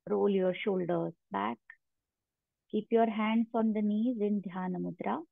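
A woman guiding a meditation as a yoga instructor, giving measured instructions with long pauses between them. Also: mid-range voice, steady tone, gravelly, no echo. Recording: narrow phone-like sound, very dry.

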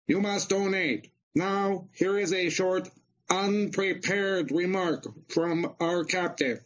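A muffled, lo-fi transmission carries an alien emissary, acted by a man. The creature speaks slowly and formally, issuing cool demands in a rather high voice, in comic radio-play style. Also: steady tone. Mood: cold, demanding. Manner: stiff, formal, measured. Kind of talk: comedy radio theater. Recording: dull, lo-fi transmission sound.

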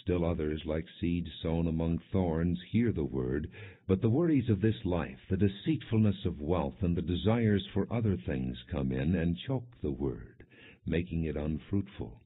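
A man with a very low voice reads scripture as a scripted audiobook narration. His tone is husky and his delivery is measured and solemn. The recording sounds muffled and low in fidelity. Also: animated. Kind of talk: scripted narration, non-dramatized scripture reading.

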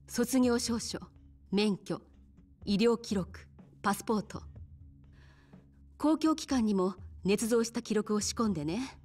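A woman voicing a composed, mysterious bar madam in a Japanese video game, speaking politely and softly feminine in phrasing. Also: a little high.

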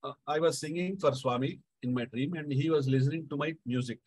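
A middle-aged man with a South Indian accent speaks in a slightly high voice. He tells a devotional story informally, often halting and repeating himself. The sound is dry, with little room echo. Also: darkish tone, slightly rough. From Andhra Pradesh, India. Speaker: Carnatic musician, guest speaker. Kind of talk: devotional anecdote, casual spoken storytelling.

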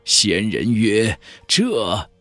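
Man voicing a slow, solemn old clan elder. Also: lowish voice, sing-song, fairly bright tone, no echo, soft background music, heavily acted. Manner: slow, dreamy, archaic storytelling. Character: ancient male clan elder, reverent, sorrowful, recalling the past. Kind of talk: audiobook character voice in a fantasy novel.

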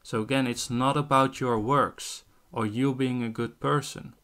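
A man of twenty from the Netherlands speaks as an online preacher. His voice sits at an average pitch. He presses his message insistently and leans on scripture as he debates. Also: fairly even intonation, measured pace, a bit bright, clean tone. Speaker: street-style online preacher. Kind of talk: evangelistic debate in casual chat.

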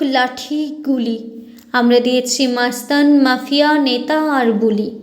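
High-voiced woman reciting poetry, slow and echoing. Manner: solemn, impassioned, deliberate declamation.

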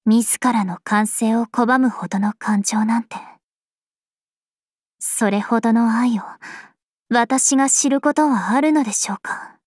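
A woman playing a regal, serene vampire princess of a video game, speaking formally and with measured poise. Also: fairly high pitch, no room sound, clean studio sound. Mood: serene, pensive. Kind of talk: scripted mobile game character voice lines.

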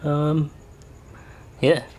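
A young man casually explaining how he details his car outdoors in a driveway, relaxed and full of ums. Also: a little high, drawn-out words, a little hiss or hum. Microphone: camera mic, later a GoPro.

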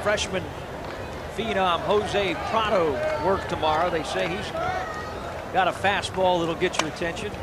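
High-voiced baseball color commentator. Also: male voice, steady tone, a little slow. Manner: conversational analysis, lifts for big plays. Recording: loud crowd and stadium noise behind.

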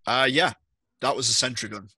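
A man narrates a tabletop role-playing game as its game master. His bright, forward voice sits at a middle pitch, delivered casually into a close, dry mic. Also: fairly quick, slightly rough, dry recording. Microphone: close mic on a group video call.

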